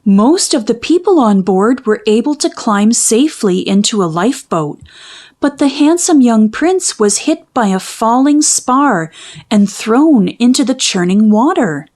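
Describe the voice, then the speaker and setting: A woman reading a children's story aloud in expressive, dramatic, carefully phrased narration. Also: on the high side, unhurried. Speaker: storybook reader.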